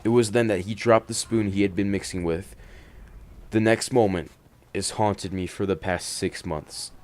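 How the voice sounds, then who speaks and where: Male horror-story host, fairly deep voice, dramatic delivery that moves between hushed and emphatic. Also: steady tone, normal speed. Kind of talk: dramatic first-person horror narration with casual commentary.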